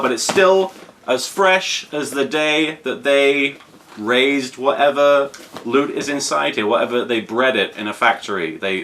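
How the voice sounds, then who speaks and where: A man's voice: mid-range voice, pushed and bright. British man rambling playfully over background noise. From Britain. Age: 30s. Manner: playful mock-serious rambling with emphatic repetition. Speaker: unboxing video host. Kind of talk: casual vlog unboxing commentary. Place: indoors at home in an apartment. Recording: clear background room noise.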